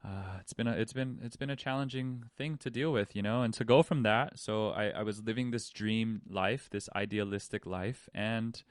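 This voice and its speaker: A man's voice: on the low side, normal speed, few pauses, clean tone, no echo, studio quality. Candid man musing aloud. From Hawaii. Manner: candid, rambling, with many restarts and fillers. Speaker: podcast host. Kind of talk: reflective personal monologue.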